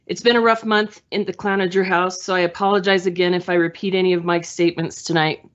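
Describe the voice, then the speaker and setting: Halting woman speaking over a dull remote link. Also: lowish voice, level tone. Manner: halting, with frequent fillers and pauses. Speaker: school board member. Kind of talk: prepared remarks at a board meeting. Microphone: video call.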